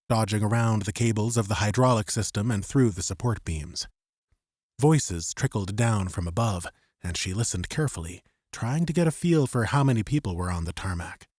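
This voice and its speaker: A man narrating scripted fiction as an audiobook, with measured, suspenseful storytelling. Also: on the low side, a little mellow, breathy edge, no room sound, clean studio sound.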